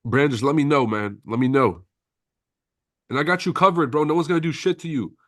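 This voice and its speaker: A man's voice: on the high side, a little fast, no echo, clean studio sound. A man from Lebanon, French his first language, hosting a casual trading livestream, reading viewer comments with brash, profane banter and frequent laughter.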